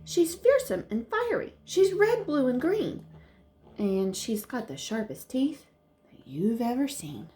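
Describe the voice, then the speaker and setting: A woman performs a storybook fairy, female and theatrical. She pleads her case insistently, with slow phrasing and a wide, lively range of pitch. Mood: dramatic, pleading. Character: excuse-making female fairy, dramatic and insistent. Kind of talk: children's storybook read-aloud.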